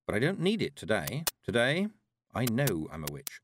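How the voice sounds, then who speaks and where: A man voicing a calm, self-possessed young witch girl in an audiobook, quiet and measured. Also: fairly low pitch, background noise. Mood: quietly resolute.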